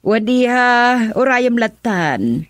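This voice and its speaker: A woman's voice: fairly high pitch, very animated, slow, no room sound. An actress playing a coaxing, bossy middle-aged mother in a radio drama, with a Filipino accent. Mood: friendly.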